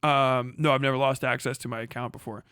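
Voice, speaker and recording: A man doing a nervous witness in a deposition, dropping his voice low and soft. He hedges and mumbles his short answers and sounds cornered. The tone stays dry and close. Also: level tone, fast talker, clear, resonant tone, no echo, natural voice. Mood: hesitant. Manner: hesitant, quiet, short reluctant answers.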